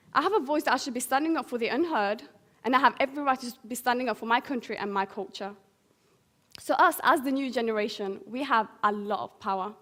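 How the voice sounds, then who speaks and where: A woman's voice: fairly high pitch, a bit bright. A teenage young woman from Syria giving an earnest prepared public speech. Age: teens. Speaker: young guest speaker.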